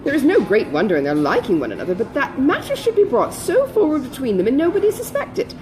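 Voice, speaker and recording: Casting: female reader as excitable older society gossip, good-hearted, breathless, very wide swings in pitch, period novel audiobook. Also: quick pace, rough recording. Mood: astonished, gossiping. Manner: breathless, exclamatory, chatty gossip. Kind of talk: dramatised audiobook reading of a classic novel.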